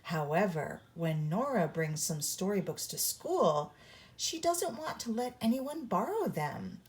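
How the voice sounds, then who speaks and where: A woman reading a children's picture book aloud as a storyteller, with deliberate, instructive read-along phrasing for young listeners. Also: medium pitch, big pitch swings.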